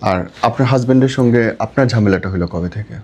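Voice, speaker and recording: Gravelly Bangladeshi man, quick-spoken. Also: lowish voice, darkish tone. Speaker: podcast host. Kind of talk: interview questions with brief reactions.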